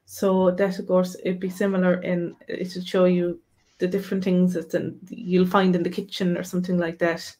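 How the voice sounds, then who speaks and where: A woman's voice: lowish voice, level tone, moderate pace, darkish tone. A young woman co-hosting a live video Q&A, chatty and recommending resources with many ums and restarts. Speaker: co-host of a live Q&A. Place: home room, indoors. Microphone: webcam, video call.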